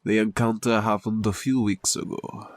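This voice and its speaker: An actor in an audio drama playing a pretentious, theatrical crime boss who tells tall tales in grandiose, formal language. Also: male voice, lowish voice, steady tone, normal speed, dry recording, close to an everyday voice. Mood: pompous storytelling. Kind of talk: scripted audio drama acting.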